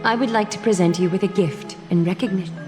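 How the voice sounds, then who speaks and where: A woman's voice: mid-range voice, fast talker, music in the background, lightly acted. An actress voicing a poised, gracious noblewoman in a video game, speaking formally and courteously. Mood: composed, gracious. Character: poised, gracious noblewoman leading a holy city.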